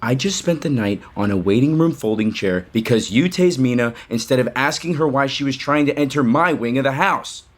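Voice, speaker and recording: A man's voice: medium pitch, expressive, brisk, hardly any pauses, natural voice. A man acting in an audio soap opera as a defensive, sarcastic younger brother who runs the family company and drinks too much. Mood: irritated, weary. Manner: irritable, sarcastic.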